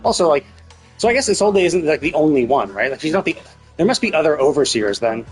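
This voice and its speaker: A man gives casual live-stream commentary in a slightly high voice, with music playing behind him. He reasons out loud, often repeating and rewording his points as he theorizes. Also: sing-song, fast talker. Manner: thinking aloud, repeats and rephrases himself. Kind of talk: casual game commentary, speculating on story. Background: game music.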